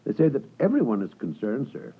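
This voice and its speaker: Obsequious, worried law clerk, husky and very lively in pitch, vintage radio drama. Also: male voice, fairly quick. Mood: worried, deferential. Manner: obsequious, hesitant, earnest. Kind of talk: 1950s radio drama acting. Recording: thin, phone-like 1950s radio broadcast.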